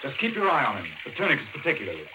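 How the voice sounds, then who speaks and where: An actor playing an earnest, urgent doctor hero in a 1940s radio thriller, speaking in a brisk, intense, clipped way. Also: male voice, medium pitch, wildly swooping pitch. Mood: urgent, businesslike. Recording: old radio broadcast, faint noise.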